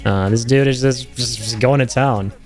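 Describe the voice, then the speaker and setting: A man's voice: mid-range voice, moderate pace, soft background music. A man giving casual, enthusiastic reaction commentary over game footage in an informal, slangy, chatty way. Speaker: host of a gaming reaction channel.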